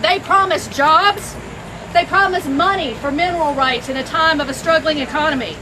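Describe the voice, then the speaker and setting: Woman w a really high voice booming out a protest speech outside, traffic noise. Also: normal speed. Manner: loud, rhetorical, repeating phrases. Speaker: campaign coordinator giving a speech. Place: outdoors on a college campus. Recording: outdoor noise and passing traffic.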